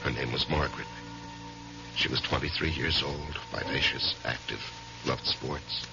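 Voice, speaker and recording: An actor playing an anguished lawyer in his forties, halting and uneasy, in a 1970s radio mystery. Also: a bass voice, fairly even intonation, creaky, music in the background, heavily acted. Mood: troubled, confessional. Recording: dull lo-fi 1970s radio recording.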